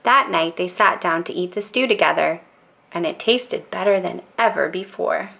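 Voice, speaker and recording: A woman storyteller narrating a children's bedtime book in a calm, expressive and deliberate way. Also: lowish voice, vocal fry.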